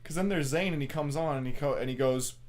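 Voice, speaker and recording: A young man co-hosting a podcast in casual banter, with an animated, joking manner and plenty of swearing. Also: a little high, quick pace. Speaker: podcast co-host.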